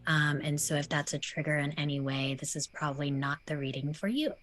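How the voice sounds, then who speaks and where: Woman, very low pitch, casual informal talk. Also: a little fast, fairly bright tone. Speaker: voice actor.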